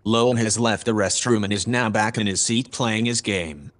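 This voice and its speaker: Polite, low-voiced man acting as a ship's AI. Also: steady tone, fairly bright tone, natural voice. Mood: calm, formal. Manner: formal, measured, deferential. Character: polite, precise male AI observer aboard a spaceship. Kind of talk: audio drama acting.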